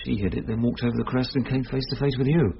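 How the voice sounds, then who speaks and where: An actor playing a calm, reassuring male police inspector who explains things in a measured way, in radio drama style. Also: mid-range voice, gravelly, close to an everyday voice. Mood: grave, explanatory. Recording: slightly dull radio sound.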